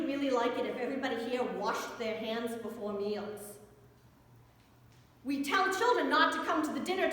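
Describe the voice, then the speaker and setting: A middle-aged American woman, a pastor, giving a storytelling sermon in an animated, wry manner inside a church. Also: high voice. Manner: animated, wry storyteller who acts out dialogue. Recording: echoey church hall with long reverb.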